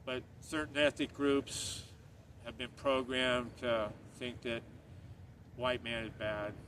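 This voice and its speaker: Middle-aged man from Northern Ireland, rambling vlogger, monotone, recorded from off-mic outdoors. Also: medium pitch, takes it slowly. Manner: earnest, rambling, repeats himself. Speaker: channel host. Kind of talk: casual monologue to camera. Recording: distant mic, street noise.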